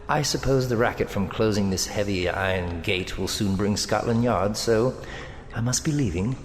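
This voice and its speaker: A man's voice: on the low side, measured pace, lightly acted. An actor playing a menacing, amorous male villain in an audio drama, speaking in a theatrical, taunting and seductive way. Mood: taunting menace. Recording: long cavernous reverb with music underneath. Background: dramatic music.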